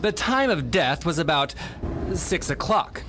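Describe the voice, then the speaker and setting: Slow, sarcastic coroner, played by a woman. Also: deep voice, very animated, fairly bright tone, slight background noise, heavily acted. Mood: patient, sarcastic. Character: patient, sarcastic, smug coroner named Brandt. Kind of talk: radio drama acting.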